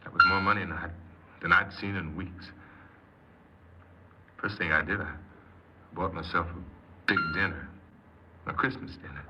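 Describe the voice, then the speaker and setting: A man's voice: deep voice, measured pace, long pauses. An actor playing a bitter, downcast young American ex-convict recounting his life plainly in a 1950s radio drama. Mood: resigned. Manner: plain-spoken, clipped, glum. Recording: noisy, muffled 1950s radio recording.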